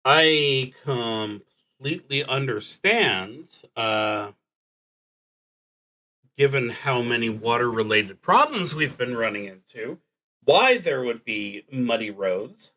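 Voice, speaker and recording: Guy streaming a solo rpg, really slow halting talk, medium pitch, kinda muttering thru his thinking. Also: animated, sharp tone, low-quality recording. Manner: halting, deliberative, murmuring to himself. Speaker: solo roleplaying game streamer. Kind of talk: thinking aloud while playing and reading game prompts.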